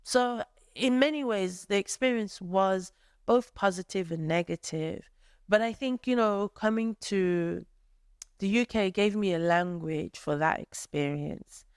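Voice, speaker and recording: A woman's voice: medium pitch, a little slow, clear, resonant tone, dry recording, clean studio sound. A woman from Nigeria who is an artist, answering a workshop question in a thoughtful way with many fillers. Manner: thoughtful, many fillers, acts out friends' voices.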